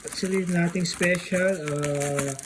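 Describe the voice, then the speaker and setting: A Filipino man with a slightly high voice talks at a slow, unhurried pace. He sounds casual and laid-back, like a hobby collector vlogging an unboxing. Faint noise, clicks and crinkling packaging sit behind him. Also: steady tone. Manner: laid-back, mixes Tagalog and English.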